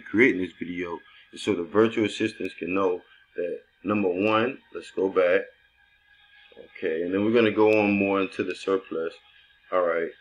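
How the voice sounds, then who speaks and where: Very low-voiced man explaining onscreen steps. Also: fairly bright tone, rough recording. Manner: loose and conversational, thinking aloud with restarts. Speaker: real estate investor training assistants. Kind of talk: screen-recorded tutorial walkthrough.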